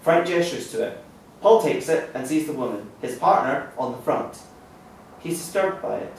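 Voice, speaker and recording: A male narrator reads screenplay directions with a lively, varied pitch. The sound is very echoey and distant, with faint noise behind it. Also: moderate pace, vocal fry. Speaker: narrator reading stage directions. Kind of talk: scripted narration at a table read.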